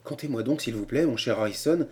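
A man's voice: medium pitch, fairly quick, darkish tone, husky, voice pushed up, lightly acted. A man voicing a spirited young woman who speaks with light teasing.

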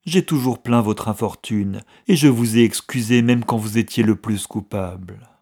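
A male narrator acting a timid old clerk from a storybook. He is husky and mild, pleading and agitated, with an anxious, gentle temper. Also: on the low side, normal speed. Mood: gentle reproach. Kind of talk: audiobook character dialogue.

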